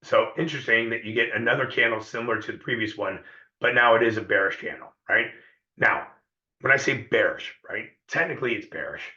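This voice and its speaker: Hoarse guy walking viewers through a chart, bright edgy tone, echoey lo-fi audio. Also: medium pitch, a little fast. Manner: conversational, repeats himself and checks in with viewers. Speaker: trading educator. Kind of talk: live trading lesson.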